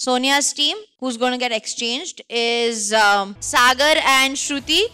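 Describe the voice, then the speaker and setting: A woman judging a dance show, announcing names in hesitant English with many pauses. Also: high voice, pushed and bright, smooth. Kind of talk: halting announcement.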